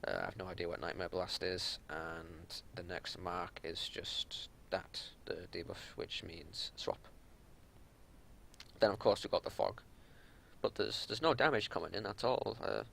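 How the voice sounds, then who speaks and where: A man's voice: deep voice, a little slow, vocal fry, a bit bright. A man giving unscripted game analysis as a raid leader, explaining things in an exasperated, matter-of-fact way. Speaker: raid leader reviewing gameplay.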